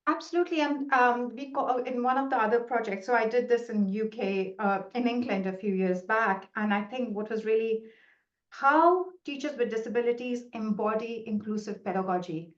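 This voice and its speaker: A woman's voice: fairly high pitch, moderate pace. A middle-aged woman whose first language is Hindi, a researcher answering questions in a webinar in an earnest, explanatory way with frequent restarts. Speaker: researcher presenting findings. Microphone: video call. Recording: roomy, muffled video call audio.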